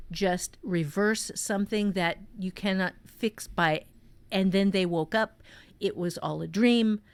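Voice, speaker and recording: A woman teaching fiction writing in a casual podcast conversation, explaining with deliberate emphasis. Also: fairly low pitch, dry recording. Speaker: author and writing teacher co-hosting.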